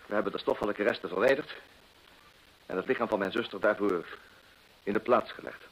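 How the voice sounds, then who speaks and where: An actor playing a proud, cornered gentleman in a radio drama, formal and self-justifying as he defends his desperate deed. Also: lowish voice, a little fast, breathy edge. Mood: grave, confessing. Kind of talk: Dutch radio drama acting. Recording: muffled vintage radio play recording.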